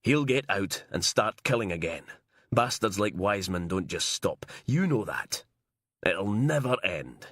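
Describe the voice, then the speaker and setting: A man reading a furious, grieving father who speaks slowly and bitterly. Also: lowish voice, very animated, fairly bright tone, dry recording, clean studio sound, full character voice. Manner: slow, bitter, menacing.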